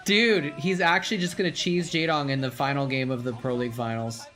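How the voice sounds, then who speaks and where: A man hosting a live stream, chatting casually and reacting excitedly to the game he is watching. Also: medium pitch, fairly quick. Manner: chatty, rambling, gets excited and laughs at the action.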